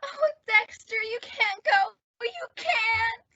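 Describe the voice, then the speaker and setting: Woman playing a high, bright, earnest teenage girl. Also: takes it slowly, dry recording, full character voice. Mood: anguished, pleading. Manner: emphatic, pleading girlish delivery. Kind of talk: old-time radio comedy acting. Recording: dull, band-limited dry audio.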